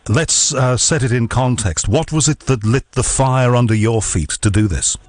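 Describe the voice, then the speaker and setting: A man's voice: medium pitch, moderate pace, no echo, clean studio sound. A man hosting a radio interview, asking questions in a conversational, encouraging way. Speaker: interview host.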